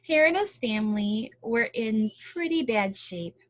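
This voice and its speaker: A woman's voice: a little high, expressive, unhurried, no room sound. A woman reading a picture book aloud expressively, with casual explanatory asides and questions. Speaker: storybook reader.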